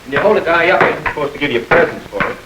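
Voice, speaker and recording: A man's voice: on the high side, expressive, quick pace, heavy background noise, lightly acted. An actor playing a suspicious, streetwise young man and fiancé in a 1930s crime film. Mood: wary. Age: young adult.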